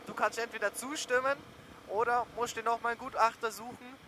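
A man's voice: very high-pitched, fairly even intonation, brisk, bright, forceful tone. A young man, chatting casually and slangily in a rambling way while riding a motorbike outdoors. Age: young adult. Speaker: motorcycle vlogger. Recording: riding noise, wind and engine. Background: motorcycle engine and road noise.

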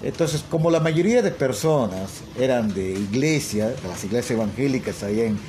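A man's voice: medium pitch, expressive, normal speed, warm tone, slight background noise. A man, a language teacher talking casually to his phone at arm's length in a small room, hesitating often with fillers and tag questions. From Peru. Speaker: English teacher and language school owner. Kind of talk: casual selfie video talk.

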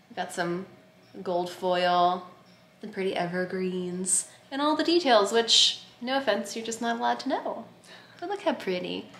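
A woman vlogger in her thirties talks casually and playfully at a mid pitch. The room echoes around her, and there is faint noise behind. She holds the camera at arm's length at home. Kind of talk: casual vlog chat. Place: home kitchen and dining room, indoors. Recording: roomy echo, light background noise.

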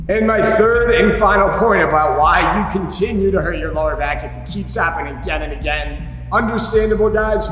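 A man's voice: a little high, a bit bright. A man in his thirties with a slight chest cold, giving emphatic, informal fitness instruction to camera as a coach. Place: roomy gym, indoors. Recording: echoey gym room.

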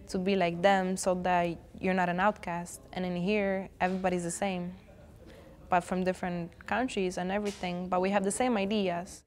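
A teenage girl in an interview in a school theater, talking reflectively and searching for words. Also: mid-range voice. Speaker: student.

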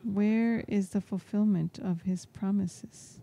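A woman interprets a homily aloud, phrase by phrase. Her voice is very dark and mellow, at a middling pitch. The delivery halts between short phrases as she translates live. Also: steady tone, takes it slowly, gravelly. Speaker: interpreter voicing a priest's homily in English. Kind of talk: live spoken translation of a sermon.

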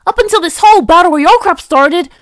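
A woman's voice: very high-pitched, quick pace, no echo. A woman voicing a timid, whiny, panicky fourteen-year-old boy in an anime parody dub. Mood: exasperated.

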